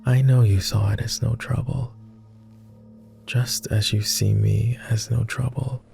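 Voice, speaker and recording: Male vampire companion, acted by a man, roleplay ASMR: earnest, formal, weary, with a dark mellow tone and very unhurried delivery. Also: medium pitch, fairly even intonation, creaky, faint music behind. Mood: wistful, earnest. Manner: tender, earnest, hesitant, somewhat formal speech. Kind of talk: intimate roleplay ASMR voice acting.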